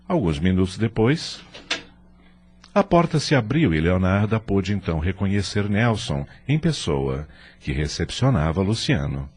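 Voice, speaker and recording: Deep-voiced, expressive male narrator. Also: slightly rough. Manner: expressive, literary storytelling. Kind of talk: scripted audio drama narration.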